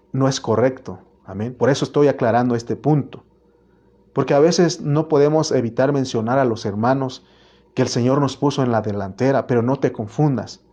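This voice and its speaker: A man's voice: medium pitch, dark tone. A man with a Mexican Spanish accent preaching a sermon as pastor, earnest and repetitive, often restarting his words.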